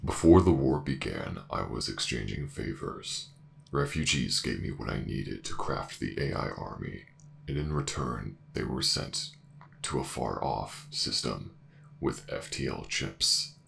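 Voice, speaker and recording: Casting: a ruthless machine intelligence for sci-fi audio drama, bottomless pitch, heavy gravel, formal and emotionless. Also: male voice, lively intonation, fairly bright tone, slight background noise, heavily acted. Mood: matter-of-fact. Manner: formal, measured, emotionless delivery. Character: ancient warship AI director, cold, logical, ruthless. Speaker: voice actor in an audio roleplay.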